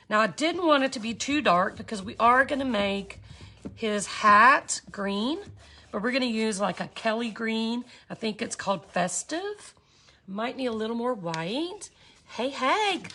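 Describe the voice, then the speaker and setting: Cheerful woman in her fifties; slow, bright-voiced livestream art teacher who chats with viewers as she works. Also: on the high side. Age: 50s. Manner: chatty, cheerful, reads out viewer comments. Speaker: glass art instructor. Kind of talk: livestream craft tutorial chatting with viewers.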